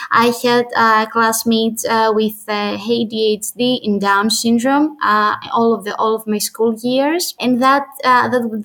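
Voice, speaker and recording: A woman's voice: fairly high pitch, fairly bright tone, rough recording. Greek woman teacher, hesitant. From Greece. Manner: hesitant, repeats words. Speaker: guest teacher. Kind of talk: panel discussion answer.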